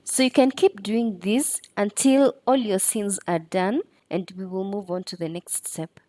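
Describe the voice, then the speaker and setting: A woman's voice: mid-range voice, steady tone, a little slow, mellow, no room sound. A woman presenting a step-by-step screen tutorial in an instructional way.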